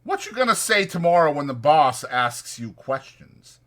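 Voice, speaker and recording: Man acting a raised-pitch, bossy ranch drifter. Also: very animated, a bit bright. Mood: instructive, stern. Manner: brisk, insistent, colloquial delivery. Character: small, sharp, irritable male ranch drifter, bossy yet protective.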